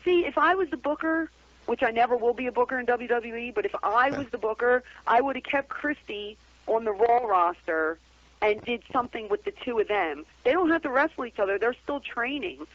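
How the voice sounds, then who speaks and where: A woman's voice: on the high side, lively intonation, brisk, no echo. A woman calling in to a radio show over the phone, chatting casually and bluntly about wrestling as a co-host. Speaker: guest call-in co-host. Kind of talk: casual wrestling commentary chat. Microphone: telephone call-in. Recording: dull lo-fi phone-in radio audio.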